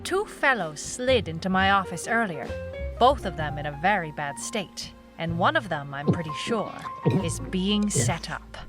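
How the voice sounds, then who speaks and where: Wry noir gumshoe gal over music. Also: female voice, on the low side, lively intonation, a little slow. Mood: businesslike, wry. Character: hardboiled young woman private eye, wry and businesslike. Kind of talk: noir audio drama acting and narration. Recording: music bed under the voice.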